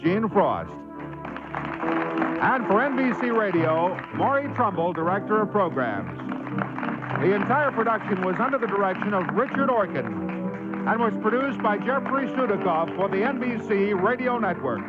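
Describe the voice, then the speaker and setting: A man reading scripted radio credits as an announcer, formally and deliberately. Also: high voice, sing-song. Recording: muffled radio broadcast over loud music.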